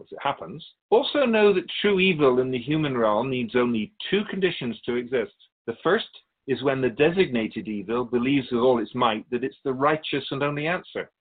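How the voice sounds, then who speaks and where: A man playing a wise, wry otherworldly keeper of souls in a radio drama, speaking in a measured, musing, instructive way. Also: mid-range voice, slightly rough. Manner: measured, didactic, musing pauses. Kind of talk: radio drama dialogue in interview form. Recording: dull, lo-fi sound.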